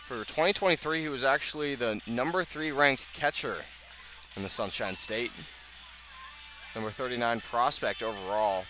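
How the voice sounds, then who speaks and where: Guy calling a baseball game on the radio, bright forward voice, short phrases w/ pauses, faint music behind. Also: mid-range voice, rough recording. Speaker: play-by-play baseball announcer. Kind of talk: live radio baseball commentary. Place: outdoors at a ballpark.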